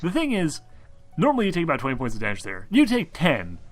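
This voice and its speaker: A man's voice: on the high side, wildly swooping pitch, fast talker, music in the background. A man acting as game master in a casual tabletop role-playing session, with a blunt, wry, profane way of talking.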